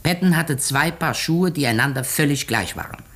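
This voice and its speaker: An actor playing a shrewd, methodical detective in a 1950s radio play, explaining deliberately with dramatic pauses. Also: male voice, a little high, a bit bright, slightly rough. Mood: pointed, accusing. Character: shrewd, methodical male detective unmasking a murderer.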